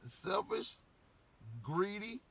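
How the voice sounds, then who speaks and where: A hoarse man speaking rants to the camera in a blunt, profane monologue, circling back over his points. His voice sits a little high, bright and forceful. It is husky and rough from a voice that is giving out. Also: big pitch swings, extremely slow. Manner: blunt, profane, repetitive street talk. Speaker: vlogger.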